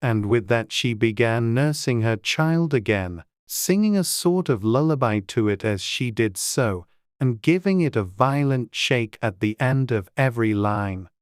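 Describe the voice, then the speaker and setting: A man's voice: medium pitch, animated, a little slow, clear, resonant tone, no echo, studio quality. A man reading scripted storybook narration as an audiobook narrator.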